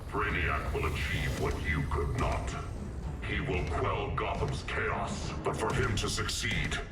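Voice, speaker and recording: Very deep, slow, solemn ancient sorcerer, echoing from afar over loud music. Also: male voice. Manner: slow, solemn, pronouncing judgment. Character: ancient mystic sorcerer, male, solemn and grave. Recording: roomy, distant, loud score behind.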